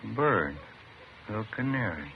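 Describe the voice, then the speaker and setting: An actor playing a plain-spoken, puzzled police detective in a 1950s radio drama, with a folksy, understated delivery. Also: male voice, lowish voice, very animated, moderate pace, creaky. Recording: noisy, dull vintage 1950s radio recording.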